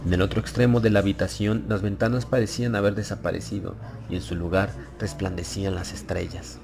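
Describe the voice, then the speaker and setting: A man narrating a dramatized horror audiobook in a solemn, measured, dramatic way. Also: low-pitched, level tone, creaky. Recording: loud music behind the voice.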